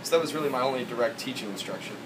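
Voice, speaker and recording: A man of average pitch speaks in a group discussion. He sits away from the microphone, with clear room noise around him. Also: very fast. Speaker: college instructor. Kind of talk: scripted group discussion read aloud. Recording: distant mic in noisy room.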